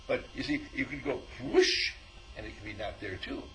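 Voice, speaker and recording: Man, spiritual teacher, animated storyteller, mid-pitched voice, faint background hiss. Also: poor audio. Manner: animated storyteller, acts out dialogue, chuckles often. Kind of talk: informal spiritual talk.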